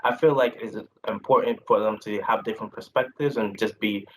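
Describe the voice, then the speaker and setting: A young man from Haiti speaking as a panelist on a video call, earnest and measured. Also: on the low side, fairly even intonation, a little hiss or hum, low-quality recording. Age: young adult. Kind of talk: virtual panel discussion.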